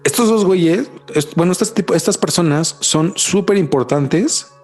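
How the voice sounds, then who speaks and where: Mexican male co-host, excitable and slangy, slightly high voice. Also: normal speed, faint music behind. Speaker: podcast co-host. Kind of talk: casual chat.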